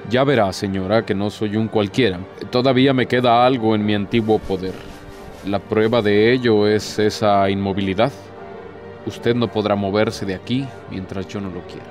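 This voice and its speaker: An actor playing an ancient, courtly Devil in a radio drama, a sly and despairing tempter who speaks formally and insinuatingly. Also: male voice, on the low side, fairly even intonation, normal speed, lightly acted. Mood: courtly, boastful. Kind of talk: theatrical radio drama acting. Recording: music behind the voice.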